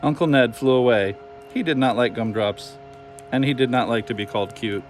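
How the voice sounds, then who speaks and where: A man narrating a children's storybook in an expressive read-aloud style. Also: medium pitch, normal speed, faint music behind. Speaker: storybook reader.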